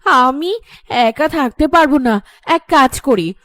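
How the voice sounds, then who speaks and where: A plaintive village woman from a horror cartoon, voiced by an actress. Her voice is very high and she speaks slowly and emotionally, in a dry recording. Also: expressive, fairly bright tone, no echo, heavily acted. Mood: fearful. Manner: plaintive, drawn-out. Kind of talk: Bengali horror cartoon voice acting.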